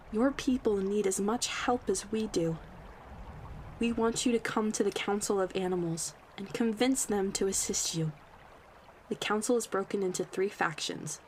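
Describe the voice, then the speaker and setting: A woman's voice: medium pitch, slight background noise, lightly acted. A woman voicing a proud, solemn talking rabbit of the forest, speaking earnestly and formally in a fantasy roleplay monologue. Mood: grave, urgent. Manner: earnest, formal storytelling in measured phrases.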